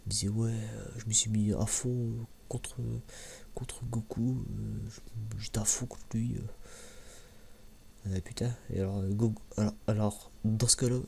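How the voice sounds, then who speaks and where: A man speaks softly in a mellow, crackly voice, as in an ASMR review. His delivery is informal and hesitant, circling back over the same words and sometimes dropping close to a whisper. Some background noise sits under the voice. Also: fairly low pitch, level tone, unhurried. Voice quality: soft, hushed voice, sometimes near a whisper. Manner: hesitant, informal, slangy, often repeating words. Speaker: host of an anime review video. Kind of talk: soft-spoken ASMR commentary, casual and rambling. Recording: clearly audible background noise.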